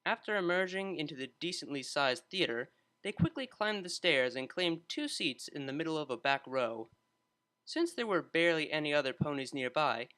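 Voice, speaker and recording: A man narrating a scripted story in an expressive reading style. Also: on the high side.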